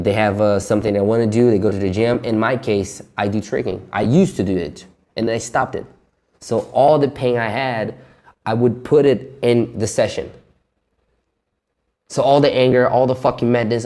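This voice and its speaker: A man's voice: fairly low pitch, a little mellow. Young man venting with a lot of swearing. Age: 20s. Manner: frank and heavy swearing, nervous laughs. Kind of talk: candid personal monologue.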